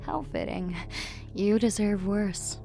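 A woman's voice: mid-range voice, steady tone, slow pace, creaky, mellow, faint music behind. A woman playing a playful, gleefully cruel world-killing goddess in an audio horror drama, theatrical and mocking. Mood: mocking, venomous. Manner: theatrical, mocking, giggly, swinging from sweet to venomous. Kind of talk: villain monologue in an audio horror series.

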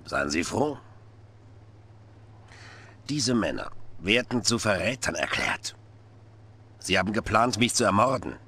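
An actor playing a menacing, middle-aged Soviet dictator in a game cutscene, commanding and theatrical, shifting between hush and shouting. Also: male voice, fairly low pitch, sing-song, unhurried, pauses often, slight background noise, heavily acted. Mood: confiding, menacing. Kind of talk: dramatic game cutscene acting.

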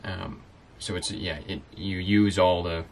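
A man's voice: low voice, flat delivery, a little slow, a little hiss or hum. A young man who lives on a sailboat, chatting casually and explaining things easily with plenty of fillers, inside a small boat cabin. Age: young adult. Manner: easygoing, explanatory, many fillers. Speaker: sailboat-living vlogger. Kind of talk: casual chat.

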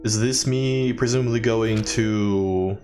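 A man's voice: on the low side, fairly even intonation, slow pace, darkish tone, soft background music. A young man giving casual, joking let's play commentary as a gaming YouTuber. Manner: wry, joking.

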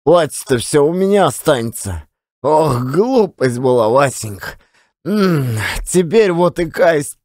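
A garrulous provincial Russian man, acted out by a male reader, spins his rueful yarn in an audiobook drama. His voice is high and very animated, with folksy colloquial turns and theatrically stretched words. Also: a little slow, no room sound, studio quality. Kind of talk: dramatized audiobook storytelling, monologue.

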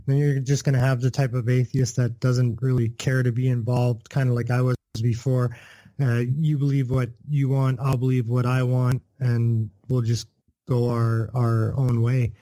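Canadian male guest, mellow tone, earnest, restarts a lot, dry close mic. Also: mid-range voice, flat delivery, normal speed, no room sound, poor audio. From Canada, Thunder Bay. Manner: earnest, restarts and repeats words while explaining. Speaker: podcast guest. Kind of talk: casual interview conversation.

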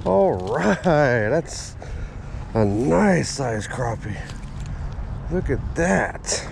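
A man in a relaxed, chatty commentary while he fishes at a park pond, voicing his thoughts to a chest-mounted action camera. His voice sits at an ordinary middle pitch, and faint outdoor ambience hums behind him. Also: very slow, creaky, slight background noise. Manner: easygoing, talks to himself and the camera, excited by catches. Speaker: fishing vlogger. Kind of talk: casual running commentary while fishing. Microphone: chest- or head-mounted action camera.

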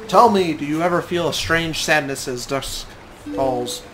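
A man voicing a calm grown village man, reading haltingly with a put-on southern-style drawl over game music. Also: a little high, lightly acted, low-quality recording. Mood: gentle, wistful.

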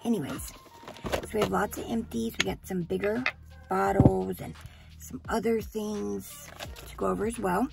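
Woman beauty vlogger, hoarse and gravelly, rambling casual chat, mid pitch. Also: steady tone, normal speed, loud music behind the voice. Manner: chatty, rambling, gushing. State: hoarse after surgery.

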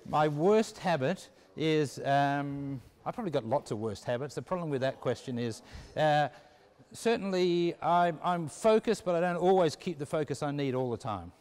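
A middle-aged man with an Australian accent giving measured, unscripted interview answers with some hesitant phrasing. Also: fairly high pitch, lively intonation, darkish tone. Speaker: business school dean, interviewee. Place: walking through a university building. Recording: echoey indoor hallway.

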